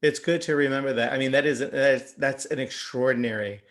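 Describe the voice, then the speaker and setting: A man's voice: medium pitch, steady tone, fairly bright tone. Effusive male interview host. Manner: effusive, enthusiastic, quick to praise. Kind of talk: casual interview chat.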